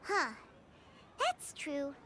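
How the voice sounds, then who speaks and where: A tiny fairy companion from a video game, with an extremely high, animated voice. She is chirpy, bossy and full of cute emphasis. Loud background sound runs behind her. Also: very slow, very noisy, heavily acted. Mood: curious, musing. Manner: cutesy, exclaiming, emphatic. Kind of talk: video game character dialogue.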